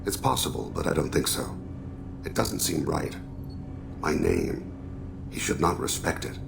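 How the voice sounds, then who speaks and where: An actor playing a solemn, regretful alien assassin and father in a video game, speaking in measured, formal, introspective phrases with a gravelly voice. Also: expressive, background noise, exaggerated voice. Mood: quiet, troubled. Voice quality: very deep, heavily gravelly. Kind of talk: video game dramatic dialogue.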